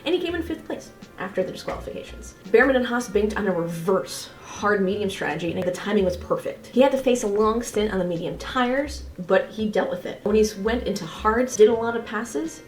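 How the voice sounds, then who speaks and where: A woman's voice: medium pitch, lively intonation, fairly quick, hardly any pauses. Woman reviewing races, echoey room. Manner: opinionated, informal. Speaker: motorsport analysis video host. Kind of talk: casual race review commentary. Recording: echoey room, faint music behind.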